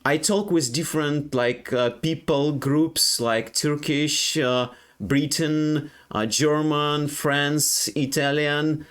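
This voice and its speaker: A young Ukrainian man with a Ukrainian accent, speaking English as a second language in a halting personal monologue full of fillers and restarts. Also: a little high, steady tone, a bit bright, clear, resonant tone. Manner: halting, many fillers and restarts, non-native grammar. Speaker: streamer.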